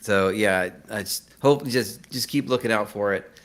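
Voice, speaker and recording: Low-voiced man, casual and self-deprecating. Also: normal speed, fairly bright tone. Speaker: interview guest, screenwriter and podcaster. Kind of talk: casual chat.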